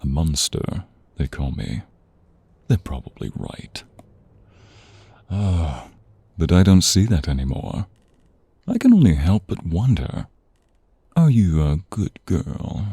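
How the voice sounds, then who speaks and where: A man voicing a seductive, domineering vampire in an ASMR roleplay, teasing and commanding in a breathy voice that often drops to a whisper. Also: low voice, very animated, slow, long pauses, mellow, dry recording, exaggerated voice. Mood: brooding, seductive. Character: sinister, seductive male vampire, domineering and predatory master. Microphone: close, dry studio microphone.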